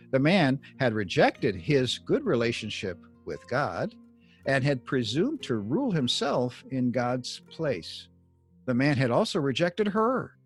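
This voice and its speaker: A man reading scripted Bible teaching like an audiobook author, deliberate and emphatic, with measured pauses. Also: medium pitch. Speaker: author reading own book excerpt.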